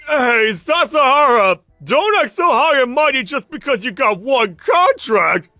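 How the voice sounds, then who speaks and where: The desk hog of a cartoon office, a high, loud, scornful senior barking across the cubicles , acted out by a man, music underneath. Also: wildly swooping pitch, a little fast, husky, exaggerated voice. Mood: drunken anger. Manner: sneering, loud, dismissive. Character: arrogant, scornful, lazy male office senior, a bully. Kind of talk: exaggerated anime-style dub acting.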